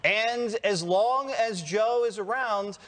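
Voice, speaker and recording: A man with an American accent and a notably high-pitched voice. He talks as a panelist on a political talk show, delivering opinionated remarks in a sardonic manner. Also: slow pace, fairly bright tone.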